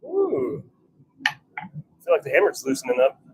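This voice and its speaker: A man chatting casually on a live stream, thinking out loud as he works in his workshop. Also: on the high side, wildly swooping pitch, moderate pace, heavy background noise. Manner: chatty, thinking out loud while working at the forge. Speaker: livestream host, hobby blacksmith. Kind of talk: casual live-stream chat while working. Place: home forge workshop.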